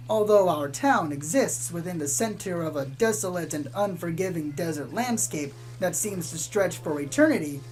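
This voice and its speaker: A woman playing a calm small-town pony radio host in an audio drama, reporting eerie doom in a measured, deadpan news style. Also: lowish voice, lightly acted, rough recording. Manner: measured, deadpan about sinister things. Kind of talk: scripted spoof community radio news narration.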